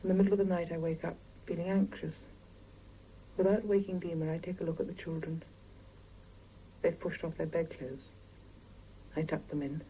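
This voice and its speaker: A woman's voice: on the low side, fairly even intonation, moderate pace, pauses often, mellow, natural voice, poor audio. An actress playing a weary, exasperated Soviet working mother with dry, sardonic delivery in a 1970s radio drama. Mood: weary, reflective. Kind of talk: 1970s radio drama, dialogue and intimate first-person narration. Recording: hissy 1975 radio drama cassette, mono.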